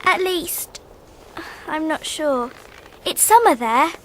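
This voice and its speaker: A woman's voice: high-pitched, animated, takes it slowly, fairly bright tone, a little hiss or hum, full character voice, low-quality recording. A woman playing a polite, innocent, curious young English girl in a children's radio drama, earnest and well-mannered.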